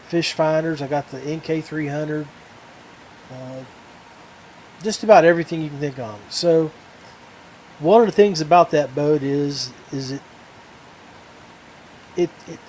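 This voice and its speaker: A middle-aged man hosting a podcast alone, chatting casually in a halting, rambling way. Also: a little high, a little mellow, poor audio. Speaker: podcast host. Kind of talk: casual solo chat. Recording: faint background ambient noise.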